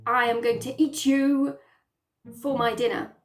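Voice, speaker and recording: A woman voicing a gruff, hungry talking wolf in a deliberate way for a children's story. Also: high-pitched, little pitch movement, reverberant, soft background music.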